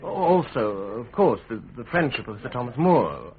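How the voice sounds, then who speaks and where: A man's voice: mid-range voice, very animated, moderate pace. An actor playing a needy, cynical young man in a radio drama, with a hesitant, stammering manner. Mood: aggrieved, bitter. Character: needy, cynical, ambitious young male office-seeker. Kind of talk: British radio drama acting. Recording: tinny band-limited radio recording.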